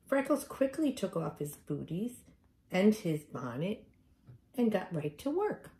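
A middle-aged woman reads a picture book aloud to young children. Her pitch is on the low side with a lot of gravelly creak, and it moves up and down freely as she tells the story expressively. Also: big pitch swings, measured pace, mellow, a little hiss or hum. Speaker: teacher reading aloud to children. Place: room at home, indoors.

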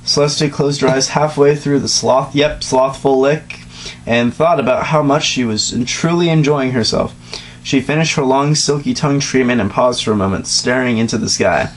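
A man's voice: medium pitch, normal speed. A young man doing an informal dramatic reading of a story, stumbling over words and breaking off for casual asides. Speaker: reader. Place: small dim room indoors, on a couch. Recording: noisy home recording with hum.

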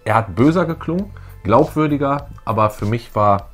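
Husky man chatting as co-host. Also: mid-range voice, animated, moderate pace, fairly bright tone, soft background music. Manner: enthusiastic, emphatic. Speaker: co-host of a retro fan show. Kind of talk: casual enthusiastic chat. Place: small indoor room with collectibles.

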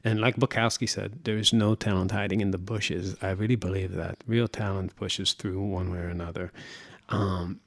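Low, gravelly-voiced man chatting casually. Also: little pitch movement, normal speed, few pauses, no echo, clean studio sound. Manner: rambling, opinionated, crude. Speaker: podcast co-host, musician. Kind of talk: casual podcast chat.

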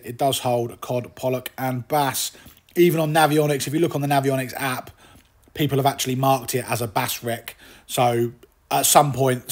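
Chatty English angler narrating footage. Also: male voice, medium pitch. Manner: chatty, relaxed, with fillers and asides. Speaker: fishing channel host. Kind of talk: casual voice-over commentary.

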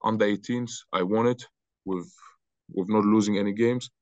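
A young man from Austria, answering interview questions in loose, halting talk on a video call. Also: fairly low pitch, fairly even intonation, no echo. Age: young adult. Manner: loose, halting, repeats words. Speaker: interview guest, football player. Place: bright room indoors. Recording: dull lo-fi video call audio.

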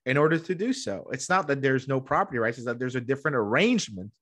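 Podcast guest, male, thirties, medium pitch, emphatic explainer, academic conversational delivery, close desk mic. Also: animated, quick pace, fairly bright tone. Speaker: podcast guest, economic history scholar. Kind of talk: explanatory interview talk. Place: small room at home, indoors. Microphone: desk microphone close up, video call.

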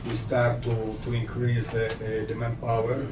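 An Italian man with an Italian accent giving a progress presentation as site manager in halting, repetitive non-native English. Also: medium pitch, completely flat delivery. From Italy. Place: small indoor meeting room. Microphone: distant camera microphone. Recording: echoey noisy meeting room from far off.

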